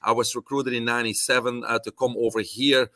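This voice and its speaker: A man's voice: mid-range voice, level tone, fairly quick, pushed and bright, no room sound, studio quality. A middle-aged man from Belgium with a Belgian accent, talking as a guest in a casual interview, listing things off with many fillers. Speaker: guest, holistic nutrition doctor.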